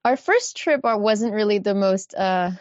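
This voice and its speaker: A woman in her thirties from Haiti, a guest speaking in a relaxed, reflective way with easy laughter. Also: mid-range voice, normal speed, no room sound. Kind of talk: conversational interview. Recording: dull dry remote line.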